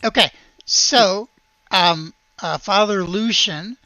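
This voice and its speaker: A man narrating a tabletop roleplaying game as game master, halting as he searches for words. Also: high voice, bright, forceful tone, dry recording, poor audio.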